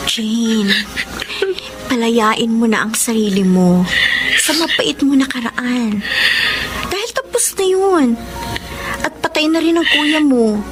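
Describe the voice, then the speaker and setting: Radio drama: bold young female confidante, high and very animated, frank and caring, music behind. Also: takes it slowly, pauses often. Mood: earnest, comforting. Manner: playful, frank, expressive. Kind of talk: Filipino radio drama acting. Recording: music bed under the voice.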